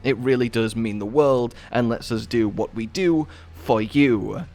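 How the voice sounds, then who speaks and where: A man's voice: medium pitch, a little slow, darkish tone. A man giving an enthusiastic video game guide voice-over in a chatty, wordy, playfully dramatic way.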